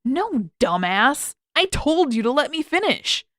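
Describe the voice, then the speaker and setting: A woman's voice: high voice, big pitch swings, a little slow, a bit bright, no echo, studio quality, voice pushed up, exaggerated voice. A woman voicing a blunt, irritable, sarcastic teenage boy in an audiobook-style story, speaking casually and emphatically. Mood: exasperated, snappy.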